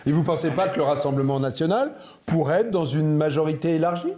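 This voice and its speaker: A man's voice: fairly high pitch, quick pace, dark tone. A man hosting a televised political debate, cutting in with challenging questions. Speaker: host.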